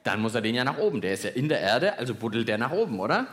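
Male co-host, kids' science show. Mid pitch, lively swings, jokey and musing, unscripted stage chat. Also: racing. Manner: jokey, musing, self-deprecating. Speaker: co-host of a children's science podcast. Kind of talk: playful unscripted live show talk. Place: on stage at a live podcast festival.

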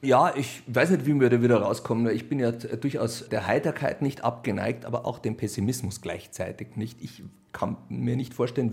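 A man's voice: on the low side, creaky, a little mellow. A man with a Bavarian accent chatting colloquially and wryly in an interview, as a radio play author and director. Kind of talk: casual interview talk.

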